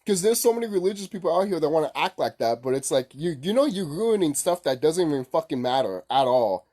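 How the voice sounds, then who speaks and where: A man's voice: a little high, very animated, fast, dark tone. A man giving a casual, unscripted opinion rant as a gaming commentator, blunt and repetitive with heavy swearing.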